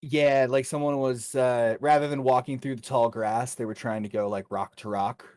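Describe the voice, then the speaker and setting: A man running a tabletop game as game master, improvising narration and pausing often mid-sentence. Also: medium pitch, steady tone, a little mellow, no room sound, clean studio sound.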